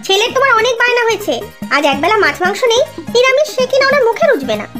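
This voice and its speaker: A brisk, chiding young cartoon mother, with a very high, animated voice. She coaxes and complains quickly in the manner of a children's village tale. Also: over music. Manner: coaxing, complaining. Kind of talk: Bengali children's cartoon acting.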